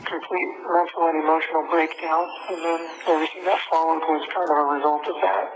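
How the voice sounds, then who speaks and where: Male inmate on a noisy phone call. Creaky voice, hesitant casual talk. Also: fairly high pitch, flat delivery, brisk. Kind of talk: casual private phone conversation. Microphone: telephone handset. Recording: noisy jail phone line recording.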